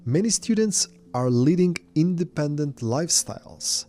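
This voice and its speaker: A man's voice: a little high, lively intonation, normal speed, mellow, faint music behind. A man reading scripted documentary narration in formal sentences.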